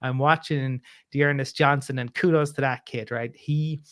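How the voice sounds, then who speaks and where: A man's voice: medium pitch, steady tone, normal speed, dry recording, clean studio sound. An Irish man in his forties, a guest on a podcast, talking casually and rambling with many fillers and restarts. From Ireland.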